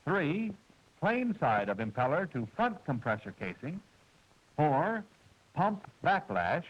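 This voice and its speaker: A man narrating a 1940s military training film, with a precise, measured and formal delivery, heard through an old film soundtrack. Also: a little high, sing-song, lo-fi sound. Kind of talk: scripted instructional narration.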